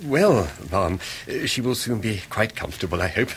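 A deferential English country doctor in a radio drama. He is hesitant and formal, his lowish voice moving up and down a lot. A little noise runs behind. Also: lively intonation, moderate pace, creaky, a bit bright. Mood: nervous, deferential.

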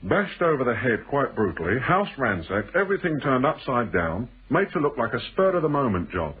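Husky, needling British male stranger. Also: mid-range voice, very animated. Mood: sly storytelling. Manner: teasing, probing, deliberate. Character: shrewd, insinuating male stranger, needling storyteller in a thriller. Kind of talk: British radio drama acting. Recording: muffled band-limited old radio broadcast.

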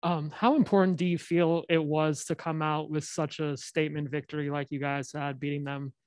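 A male reporter puts measured questions to a player at a press conference. His voice is fairly high and stays flat, with little rise or fall. The sound is dry. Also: clear, resonant tone, no echo.

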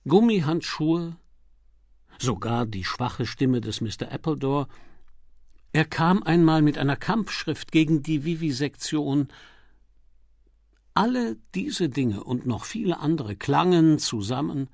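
A man reading a crime novel as an audiobook narrator, with a dramatic, deliberate delivery and emphatic pauses. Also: a little high, animated, vocal fry, dry recording, clean studio sound.

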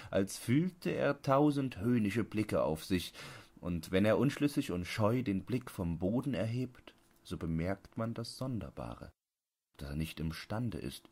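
A man with a low-pitched voice narrates an audiobook. He reads scripted literary prose in a measured manner, enunciating each phrase with care. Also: darkish tone.

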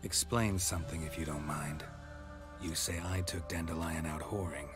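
Stoic monster hunter in a game, super low deadpan voice, music behind. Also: male voice, moderate pace. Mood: wry, probing. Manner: laconic, deadpan. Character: stoic, dry-witted male monster hunter for hire. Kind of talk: video game dialogue.

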